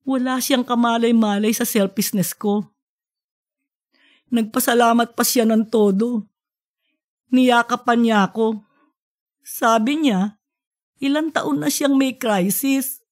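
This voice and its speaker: A woman's voice: on the high side, fairly even intonation, slow, long pauses, a little mellow. A woman voicing a distraught, self-blaming woman professor in an audiobook story. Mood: regretful. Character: distraught, guilt-ridden woman professor drinking in a bar.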